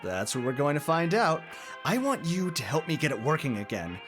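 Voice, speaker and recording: Man playing higher-voiced, grandiose eccentric inventor. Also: very animated, normal speed. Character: eccentric, proud amateur inventor, old college buddy. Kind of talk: indie audio drama acting. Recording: music under the voice. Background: music.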